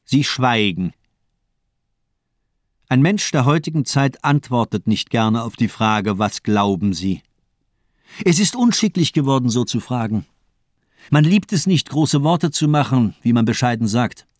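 An actor as a cold, menacing male doctor in a radio crime play. He speaks at a middle pitch in polished, mocking monologues, elegant and deliberate. The sound is very dry and close. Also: lively intonation, no echo, natural voice. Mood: scornful lecturing. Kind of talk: German radio crime drama acting.

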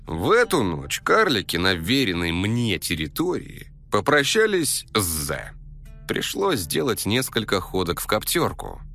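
Bright-voiced man telling a gritty comic tale. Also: medium pitch. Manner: coarse slangy street talk with swearing. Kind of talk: comic first-person storytelling, scripted narration in character.

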